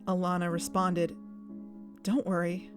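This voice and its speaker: An actress playing an earnest, uneasy young woman investigator, narrating her own story in a measured, confessional way for an audio drama. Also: on the low side, flat delivery, over music, natural voice. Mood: reflective, guarded. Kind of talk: scripted first-person audio drama narration.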